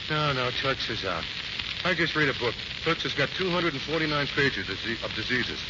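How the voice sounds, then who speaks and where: A man's voice: mid-range voice, animated, moderate pace, lightly acted. A man acting a brash, boastful ex-wrestler Marine in a 1940s radio drama, with slangy streetwise talk. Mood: dreamy boasting. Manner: boisterous, wisecracking. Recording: noisy, muffled 1940s radio recording.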